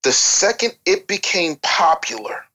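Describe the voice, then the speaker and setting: Panelist, medium pitch, emphatic and lecturing, argues points through rhetorical questions. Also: very animated, a little slow, bright, forceful tone. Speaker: talk show panelist. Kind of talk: opinionated music-industry discussion.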